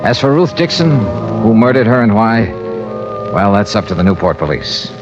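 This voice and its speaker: An actor playing a tough, wry American insurance investigator, narrating in clipped 1950s radio detective style. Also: male voice, on the low side, very animated, moderate pace. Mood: grim, resolute. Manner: clipped, hard-boiled delivery. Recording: dull vintage radio recording with music.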